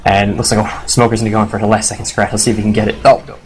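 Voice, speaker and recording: Hoarse fast-talking male esports commentator. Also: lowish voice, slight background noise, poor audio. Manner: fast, excitable, casual swearing.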